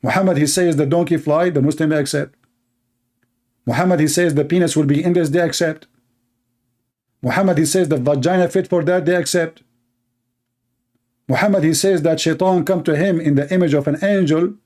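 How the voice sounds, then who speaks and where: A man's voice: fairly high pitch, fairly even intonation, fairly quick, long pauses, darkish tone. A man whose first language is Arabic, hosting a live online debate in English with mocking, sarcastic emphasis. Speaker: debate show host.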